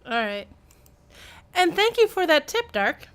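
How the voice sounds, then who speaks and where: A woman's voice: very high-pitched, sing-song, unhurried, a bit bright. A woman streaming a video game with casual, playful live commentary, reacting to what happens as she plays.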